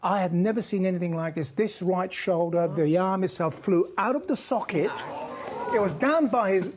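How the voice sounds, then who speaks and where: A man with a husky voice, a boxer speaking in a television clip. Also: sits high, moderate pace.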